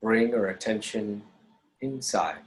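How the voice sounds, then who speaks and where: A man leading a guided meditation as instructor, speaking calmly in short measured phrases with long pauses. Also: lowish voice, low-quality recording.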